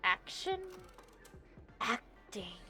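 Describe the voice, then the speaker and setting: A woman's voice: mid-range voice, big pitch swings, extremely slow, bright, forceful tone. A woman live-streaming a video game with casual, wry, playful commentary and reactions. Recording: faint game music behind. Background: video game music.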